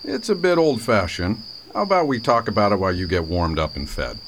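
A man's voice: lowish voice, big pitch swings, brisk, fairly bright tone, slight background noise. A man acting as a wry, folksy, kindly small-town sheriff in a radio drama. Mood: kindly, reassuring.

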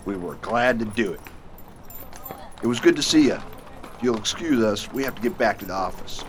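An actor playing a calm, authoritative US marshal in a western radio drama. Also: male voice, fairly low pitch, moderate pace, noisy background, close to an everyday voice. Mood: cordial, businesslike. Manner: calm, commanding. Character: steady, authoritative male US marshal in the West. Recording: faint outdoor ambience behind.

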